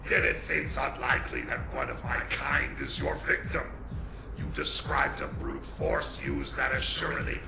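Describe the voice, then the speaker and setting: A man playing a deformed vampire leader in an audio drama, shrewd, formal and deliberate, with a gravelly voice. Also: medium pitch, fairly even intonation, reverberant, music in the background. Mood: ominous, calculating.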